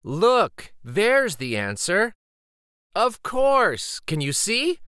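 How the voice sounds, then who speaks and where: Man reading aloud with very animated pitch. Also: drawn-out words, smooth, dry recording, clean studio sound. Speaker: children's story reader and host. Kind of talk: read-aloud picture book narration.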